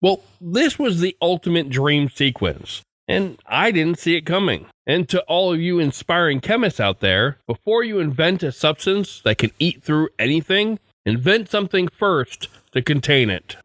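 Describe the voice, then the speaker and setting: A man's voice: fairly high pitch, very animated, normal speed, a bit bright, no room sound. A man hosting a podcast, reading a scripted sign-off in a presenter's style. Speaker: podcast host. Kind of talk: scripted podcast outro narration.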